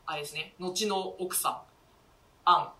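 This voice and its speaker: A woman's voice: on the low side, normal speed, sharp tone, distant mic. A woman hosting a casual, chatty round-up talk with her co-stars in a polite, easygoing way. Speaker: radio show host. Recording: roomy, off-mic, light background noise.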